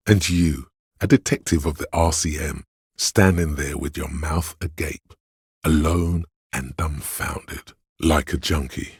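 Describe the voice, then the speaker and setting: A very deep, seductive inner tempter. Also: male voice, no echo, clean studio sound, heavily acted. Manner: smooth, insinuating, savoring words. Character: seductive, hedonistic male inner voice of addiction and craving. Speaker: video game character voice actor. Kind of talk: dramatic game narration, second-person coaxing.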